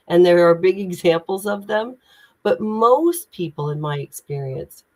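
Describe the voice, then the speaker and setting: A woman's voice: on the low side, darkish tone, poor audio. A woman from Indiana with an American Midwestern accent, speaking as a communication coach in an emphatic, inspirational way on a video call. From United States (Indiana). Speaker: guest, executive communication coach. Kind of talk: LinkedIn live conversation.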